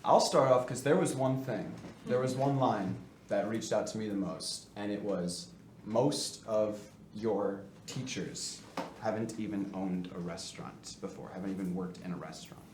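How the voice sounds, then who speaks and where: A man's voice: fairly low pitch, normal speed, darkish tone. A man from the cast reflecting earnestly on the script in a post-show Q&A, with many fillers. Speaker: actor in the cast. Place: theater stage. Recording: echoey room with faint noise.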